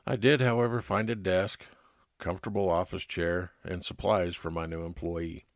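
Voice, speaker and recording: A man playing a calm, kindly private investigator who narrates his own case in measured old-time radio detective style. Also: low-pitched, no room sound, studio quality, lightly acted. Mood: matter-of-fact. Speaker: narrator and lead actor.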